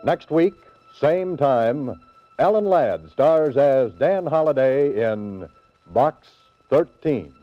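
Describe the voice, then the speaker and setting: Animated vintage male radio announcer. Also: mid-range voice, slow. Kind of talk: 1940s scripted radio announcing. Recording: dull vintage 1940s radio recording. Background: music.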